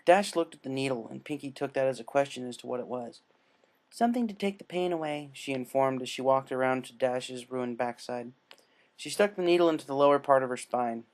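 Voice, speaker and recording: A man's voice: mid-range voice, fairly even intonation, a little fast. A man casually introducing and narrating fan fiction as its reader.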